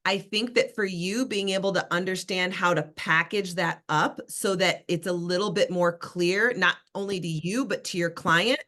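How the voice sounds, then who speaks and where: A woman's voice: lowish voice, fairly even intonation, moderate pace, hardly any pauses, sharp tone. A woman sales coach leading a live online class over a video call, upbeat and encouraging, calling on participants by name.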